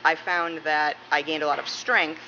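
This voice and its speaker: A woman's voice: sits low, fairly even intonation, moderate pace. A woman from Massachusetts answering questions in a casual interview. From Massachusetts, United States. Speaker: interviewee, police dispatcher. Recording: muffled, clearly noisy room recording.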